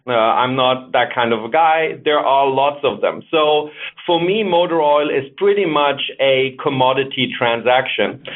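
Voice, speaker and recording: German-accented man on a phone line. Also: mid-range voice. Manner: measured, explanatory, thinks aloud with fillers. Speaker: author and marketing executive, guest. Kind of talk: interview answers over the phone. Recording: narrow tinny phone line.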